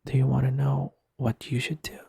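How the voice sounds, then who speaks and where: Gentle, consoling male best friend, acted by a man for intimate audio roleplay: mellow, creaky, soft and earnest, music underneath. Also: mid-range voice, completely flat delivery, no room sound, clean studio sound, heavily acted. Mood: calm, consoling. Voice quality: soft, breathy, husky. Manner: soothing, earnest, unhurried, with long pauses. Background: soft instrumental music.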